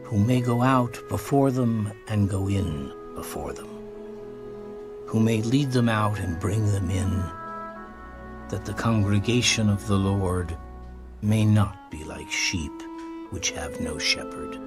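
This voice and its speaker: A low-voiced, earnest prophet, speaking slowly and reverently as he pleads. It is an audio Bible drama with music underneath. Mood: reverent, pleading. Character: Moses, solemn pleading male prophet leader.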